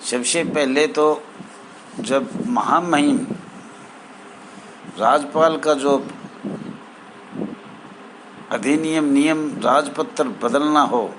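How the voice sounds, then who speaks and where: Male, mid-pitched, slow and halting association spokesman explaining procedure formally, with clear traffic-like noise behind him. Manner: halting, formal honorifics, repeats phrases. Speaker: home guard association spokesman. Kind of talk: informal explanatory address to association members.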